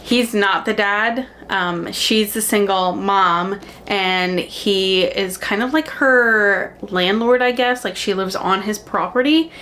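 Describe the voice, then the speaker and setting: A woman's voice: mid-range voice, slow, few pauses. Young female book vlogger, casual and effusive, emphatic delivery, mid-range voice, noticeable noise behind her. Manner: animated, gushing, dramatic emphasis. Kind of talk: casual chatty book recommendation vlog.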